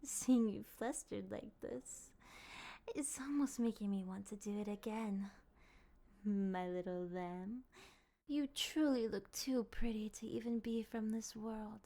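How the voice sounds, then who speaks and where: Woman acting a slow, breathy, possessive vampire lady. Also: medium pitch, warm tone. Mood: tender, sated. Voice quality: breathy, often dropping to a whisper. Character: possessive, courteous, hungry female vampire, soft dominant. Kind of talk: intimate ASMR roleplay acting.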